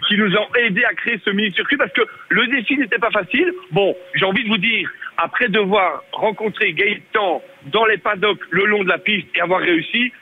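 A man, a radio reporter speaking live in an excitable, playful, exclamatory way. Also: sits high, brisk, narrow, tinny audio, a little hiss or hum. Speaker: field reporter on a radio show. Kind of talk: excited mock race commentary and live chat.

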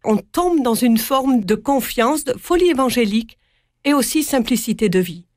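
Nun guest, southern French accent, slightly high, earnest, dry close sound. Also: female voice, expressive, moderate pace, darkish tone, no echo. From south-east France. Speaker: religious sister. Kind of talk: interview answers.

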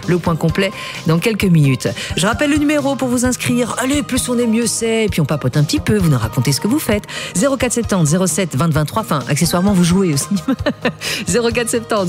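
A radio quiz host chatting in a conversational, interjecting way in a studio. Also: medium pitch. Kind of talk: lively chatty radio hosting.

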